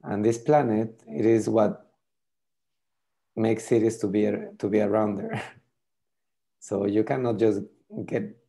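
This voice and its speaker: Middle-aged French-native man on a video call. Lowish, mellow voice, halting delivery with repeated words. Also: vocal fry. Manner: hesitant, repeats words while thinking. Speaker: tool demonstrator. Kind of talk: casual video call demo.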